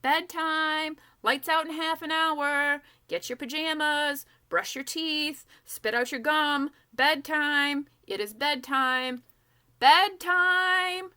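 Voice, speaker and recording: A bossy, stout older girl from a storybook, acted by a woman. She calls out commands loudly. Her voice is pushed high and very bright. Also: slow pace, exaggerated voice. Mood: commanding.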